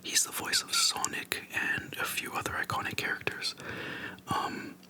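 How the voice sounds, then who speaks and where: An ASMR creator speaking in a soft, breathy whisper close to the microphone, chatting casually and slowly in short, gentle phrases with pauses. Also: rough recording.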